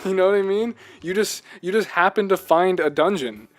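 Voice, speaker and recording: A man's voice: high voice, normal speed, slight background noise. A man co-hosting a casual video game chat, gushing with enthusiasm and rambling from thought to thought. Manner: rambling, jumps between thoughts. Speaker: podcast host.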